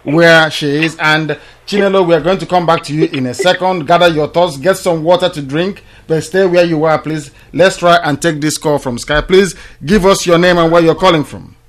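Radio host, man, formal and measured, slightly raised pitch. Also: fairly even intonation. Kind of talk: call-in radio hosting.

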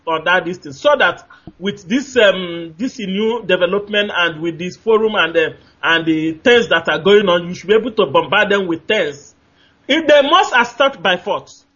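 A man's voice: sits high, sharp tone, breathy edge, highs cut off. A man with a Nigerian accent calling in to a radio talk show, speaking emphatically and repeating his points, often groping for names with fillers. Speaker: caller.

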